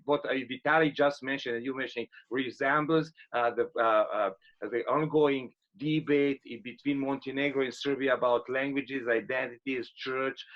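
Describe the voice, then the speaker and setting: A middle-aged man moderating an academic panel on a video call from home, speaking with many fillers and pauses. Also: mid-range voice. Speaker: workshop moderator. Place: home room, indoors. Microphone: laptop webcam video call. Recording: slightly dull video call audio.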